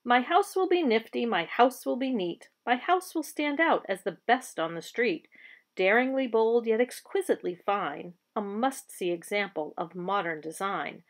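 A woman reading a children's picture book aloud like a teacher, with expressive storybook delivery. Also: fairly high pitch, normal speed.

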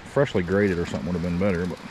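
A man's voice: deep voice, steady tone, rapid-fire, a little hiss or hum, low-quality recording. Easygoing man rambling outdoors at camp. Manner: rambling, easygoing, thinking out loud. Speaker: camping cook vlogger. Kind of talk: casual talk to camera while cooking. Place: outdoors at a wooded campsite by a stream.

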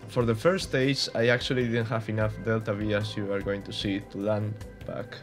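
A man's voice: fairly low pitch, level tone, moderate pace, soft background music. A young man from Spain with a Spanish accent, giving casual, hesitant commentary over gameplay with many fillers and restarts. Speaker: gaming YouTuber.

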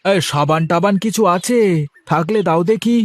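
Man voicing a high-pitched village vegetable seller, cartoon. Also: moderate pace, natural voice. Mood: homely, grumbling. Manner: colloquial, haggling, full of interjections. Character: plain-living male village vegetable seller, wheedling, defensive, henpecked. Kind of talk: cartoon character dialogue.

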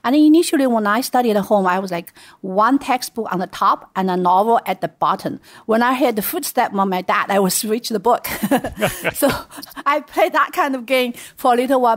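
A woman's voice: a little high, dry recording. Chatty woman with a Chinese accent. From China. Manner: chatty storytelling with light laughs. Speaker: guest, venture investor. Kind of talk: casual interview.

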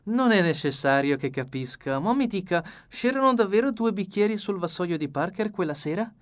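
Male reader as excitable foreign detective: high, swooping, courteous, precise, storybook delivery. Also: normal speed. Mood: inquisitive. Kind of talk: audiobook character reading.

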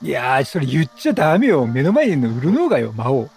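A man's voice: mid-range voice, huge pitch swings, fast talker, creaky, darkish tone. A man giving chatty let's-play commentary, reacting and thinking aloud. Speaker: game streamer.

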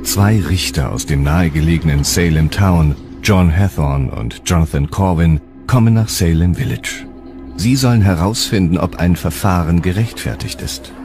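Very deep-voiced male documentary narrator. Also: moderate pace, over music. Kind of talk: scripted historical documentary narration.

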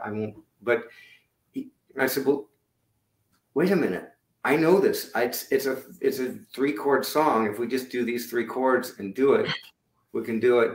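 A middle-aged man with a low voice, chatting loosely about his music over a video call, with many fillers and restarts. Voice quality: lower-range voice. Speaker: musician guest. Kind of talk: casual interview chat. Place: home room indoors. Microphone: video call webcam. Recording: echoey room on webcam.

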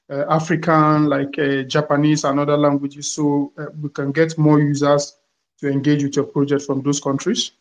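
Halting higher-voiced man asking a question. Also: low-quality recording. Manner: halting, searching for words. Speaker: audience member asking a question. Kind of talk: live audio Q&A.

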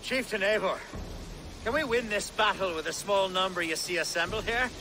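An actor playing an earnest, courteous Anglo-Saxon nobleman and war leader in a video game, with a formal, measured manner. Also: high-pitched, noisy background. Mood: eager, resolute. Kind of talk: video game cutscene acting.

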